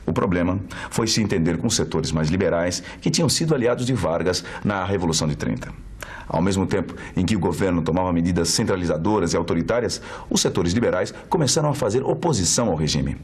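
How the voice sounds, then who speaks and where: A man's voice: low voice, animated, quick pace, a little mellow, slightly rough. A man with a Brazilian accent delivering scripted narration for a history documentary.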